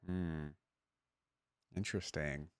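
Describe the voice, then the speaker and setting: A woman's voice: rumbling low, steady tone, slow, clean tone, clean studio sound. A woman giving casual reaction commentary as a host, often echoing lines back in informal asides.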